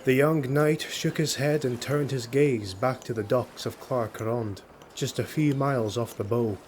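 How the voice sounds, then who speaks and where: A man's voice: medium pitch, unhurried, darkish tone, slight background noise. A man reading scripted fantasy narration dramatically, as an audiobook narrator. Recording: faint ambient sound effects behind voice. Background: ambience and sound effects.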